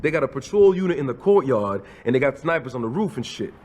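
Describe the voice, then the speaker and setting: A man's voice: mid-range voice, sing-song, fast, a little mellow, a little hiss or hum, lightly acted. Man playing echoing streetwise arms dealer. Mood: easygoing, confiding. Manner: slangy, swaggering street talk. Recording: long echoing reverb.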